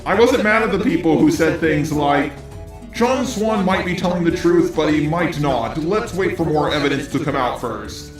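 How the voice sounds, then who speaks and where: A man's voice: on the high side, lively intonation, normal speed. Synthetic male robot voice, echoey. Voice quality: synthetic robot text-to-speech voice. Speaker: commentary video host. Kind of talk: scripted narration, rebuttal commentary. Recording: roomy echo, music behind. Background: background music.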